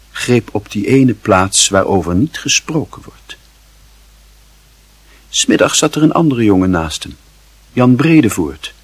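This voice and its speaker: A man narrating a radio play in a measured, expressive, literary storytelling style. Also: on the low side, slightly rough, no echo.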